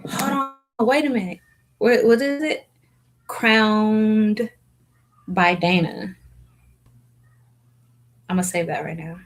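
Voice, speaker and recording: A woman's voice: medium pitch, slow pace, long pauses. A young woman chatting casually to viewers on a live stream, rambling with lots of fillers and restarts. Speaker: hair vlogger hosting a live Q&A.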